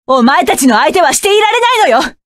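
Fierce young mech pilot girl, high voice, bossy and scornful, dry close mic. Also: big pitch swings, a little fast, a bit bright, no room sound. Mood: cocky, commanding. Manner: curt, commanding, scornful battle barks. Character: proud fierce young woman mech pilot, sharp-tongued. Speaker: voice actress. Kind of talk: game voice clip collection.

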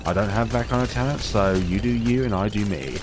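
A very low-pitched man, thirty, with a cold that makes his deep voice deeper still. He talks calmly over his own gameplay and drifts into chatty tangents. Game music plays clearly under his desk-mic voice-over. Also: fairly quick. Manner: calm, rambling, chatty tangents. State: has a cold. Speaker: gaming channel host. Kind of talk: relaxed gameplay commentary.

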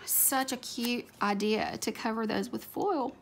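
A woman's voice: a little high, a little slow, creaky. Chatty woman with a scratchy voice. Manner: chatty, gushing over cute stickers. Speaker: planner video creator. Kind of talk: casual narration while decorating a planner. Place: home desk, overhead camera on hands. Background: faint room ambience, a dog snoring.

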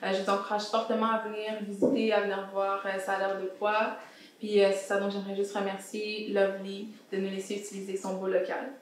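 Young woman host in a roomy salon, asks the panel questions, mid pitch. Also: completely flat delivery, fairly quick, slight background noise. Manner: friendly, guiding questions. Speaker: host and founder of a round-table show. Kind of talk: casual panel discussion. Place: indoor salon with brick walls. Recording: echoey room.

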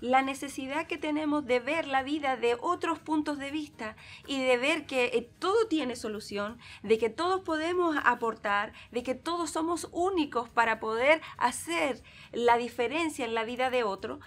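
A woman's voice: high-pitched, moderate pace. A middle-aged woman hosting a talk show, speaking reflectively and bringing in faith. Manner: warm, reflective, speaks of God. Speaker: host. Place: TV studio set, seated on armchair.

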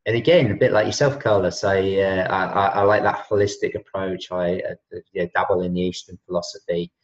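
A man's voice: deep voice, brisk. Chatty fifty-something man on a video call. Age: 50s. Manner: relaxed, chatty, many fillers and restarts. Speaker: health and wellness coach. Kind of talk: casual video call chat. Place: home room with shelves. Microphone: laptop webcam on a video call. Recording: dull lo-fi video call audio.

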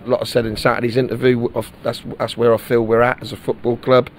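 Man, middle-aged, football manager, frank post-match talk, stumbles and repeats words, mid-pitched voice. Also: little pitch movement, quick pace, a little hiss or hum. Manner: candid, repeats words while searching for them.

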